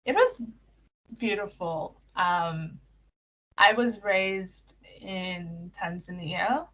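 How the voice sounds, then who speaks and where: A young woman from Kenya chats through interview questions, laughing easily and tripping over her own words. Her voice sits a little low, and the recording sounds muffled and lo-fi. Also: drawn-out words, fairly bright tone. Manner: giggly, many fillers and restarts. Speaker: interview guest. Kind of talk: casual interview answers.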